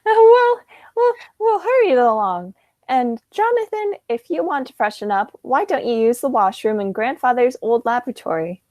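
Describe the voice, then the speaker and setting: A woman's voice: high voice, expressive, normal speed, no room sound, dull audio. Young woman as a fluttery, genteel old aunt. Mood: flustered. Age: young adult. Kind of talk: amateur radio play acting.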